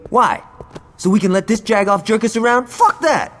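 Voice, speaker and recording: A man's voice: high voice, lively intonation, moderate pace, a bit bright. An actor playing a hot-headed, trigger-happy male gangster in a video game, brash and sarcastic, in an echoing space. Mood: angry, impatient. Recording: long echoing hall reverb.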